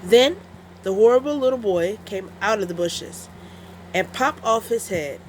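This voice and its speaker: A woman storyteller narrating a children's story in an expressive, deliberate read-aloud style. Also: medium pitch, a little hiss or hum, rough recording.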